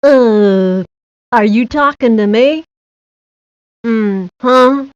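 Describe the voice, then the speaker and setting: A woman voicing a good-natured, slow-witted boy with a simple, dopey manner, for a cartoon video game. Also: on the high side, clean tone, no room sound. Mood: dopey, cheerful. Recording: dull lo-fi 1990s game audio.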